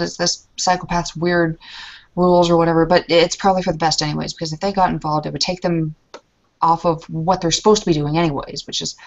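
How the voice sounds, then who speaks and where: Worried woman roleplayer, lower register, rambling, recorded lo-fi. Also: vocal fry. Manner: anxious, rambling, many restarts. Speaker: player in a tabletop roleplay. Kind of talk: in-character roleplay conversation. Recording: lo-fi muffled recording.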